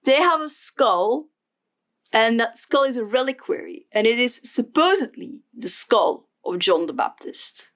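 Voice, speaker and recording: A young woman, her Dutch, chats through a travel journal at her desk at home. Her voice sits a little high, and she rambles along with plenty of ums and uhs. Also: animated, unhurried, a bit bright. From Flemish Belgium. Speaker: vlogger. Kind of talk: casual journal flip-through.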